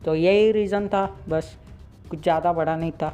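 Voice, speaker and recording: A teenage boy with a sore, slightly hoarse throat, talking casually to his viewers and retelling a cartoon plot. He leans on chatty fillers as he goes. Faint music plays underneath. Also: on the high side, fairly even intonation, moderate pace, warm tone. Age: late teens. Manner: chatty, full of "matlab" fillers. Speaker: YouTube channel host. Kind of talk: casual cartoon episode recap.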